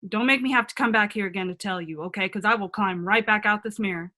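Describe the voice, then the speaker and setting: A woman's voice: medium pitch, fast talker, bright, forceful tone, lightly acted. A woman playing a wise, frank older self from a magic mirror in a radio play, earnest and reassuring. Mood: encouraging, firm. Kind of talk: comedic radio play acting.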